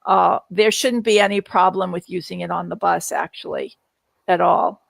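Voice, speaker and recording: A woman's voice: lowish voice, steady tone, normal speed, creaky, no echo. A middle-aged woman answering questions in a casual live Q&A, speaking in an earnest, reassuring way. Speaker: guest answering viewer questions.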